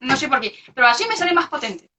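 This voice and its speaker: A woman's voice: sits high, animated, quick pace, bright, forceful tone. A young woman from Belgium, a researcher whose first language is not Spanish, talking hesitantly with many fillers and restarts in a casual interview. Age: young adult. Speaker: researcher guest. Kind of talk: casual interview over a live video stream. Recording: lo-fi online video call audio.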